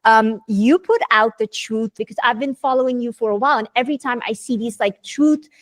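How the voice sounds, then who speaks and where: A woman with a fairly high voice hosts a conversational interview. She speaks informally and with emphasis, often addressing the audience directly, and the recording is close and dry. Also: a little fast, no echo. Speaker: interviewer and host.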